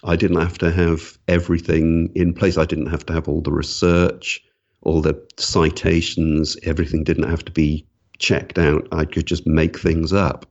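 A man's voice: a bass voice, flat delivery, normal speed, darkish tone. A man, a guest author answering interview questions thoughtfully, often restarting his sentences. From Wales. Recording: muffled lo-fi audio.

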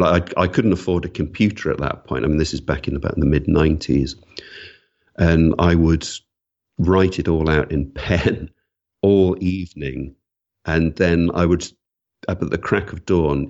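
A man's voice: very deep voice, darkish tone. A man, a guest author answering interview questions thoughtfully, often restarting his sentences. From Wales. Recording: muffled lo-fi audio.

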